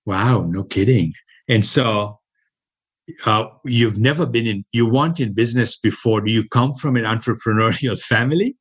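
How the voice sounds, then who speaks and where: A man with an American accent hosting a podcast interview. He sounds upbeat and halting and restarts his questions often. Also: on the low side, breathy edge. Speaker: podcast host.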